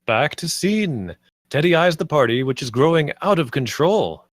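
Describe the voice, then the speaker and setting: A man's voice: fairly high pitch, very animated, normal speed, no echo, clean studio sound. A man reading scripted stage directions as the narrator of a screenplay table read.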